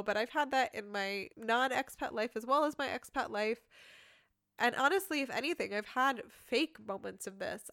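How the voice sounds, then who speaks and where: A woman's voice: a little high, fairly bright tone, dry recording, clean studio sound. Giggly rambling woman podcast host. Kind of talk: casual chatty monologue.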